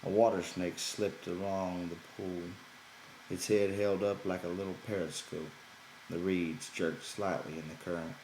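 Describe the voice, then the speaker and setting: Male audiobook narrator, deep register, literary prose reading, roomy sound with faint noise. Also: fairly even intonation, unhurried, a little mellow. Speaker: audiobook reader.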